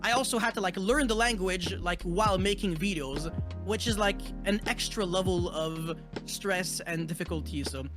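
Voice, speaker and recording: A man's voice: high voice, normal speed, over music. A man from Montreal with a Quebec French accent, French his first language, chatting casually as an interview guest and leaning on lots of "like" and "you know". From Montreal, Canada. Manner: loose, filler-heavy with frequent "like" and "you know". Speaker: guest content creator.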